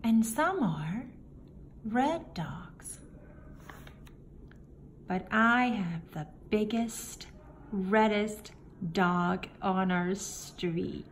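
Very slow-paced woman reading to children. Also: medium pitch, expressive. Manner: deliberate, simple phrasing with pauses between phrases. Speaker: children's story reader. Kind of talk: picture book read-aloud for young children. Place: indoor room, book filmed on a table. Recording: faint room noise behind the voice.

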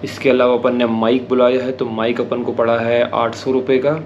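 A young man chats his way through his gear, explaining each step in a steady, flat-toned voice. The sound comes off an action camera's mic, slightly dull and lo-fi, with faint traffic noise underneath. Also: medium pitch, normal speed. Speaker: motovlogger. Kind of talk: casual gear-review vlog. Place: small home room indoors, later riding a motorbike on city streets. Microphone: action camera with attached mic.

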